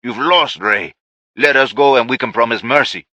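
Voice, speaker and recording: A man's voice: mid-range voice, normal speed, bright, forceful tone, no echo, muffled recording, pitched above the performer's own voice, lightly acted. A man doing a defiant cartel man with a Spanish accent. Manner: firm, defiant. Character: defiant middle-aged male cartel captive.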